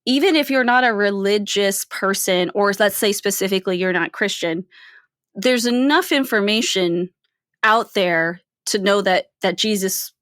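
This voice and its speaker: A woman's voice: medium pitch, moderate pace, a bit bright, dry recording, clean studio sound. A woman co-hosting a podcast, talking casually about film in an explanatory way, often checking in with "right?". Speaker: podcast co-host.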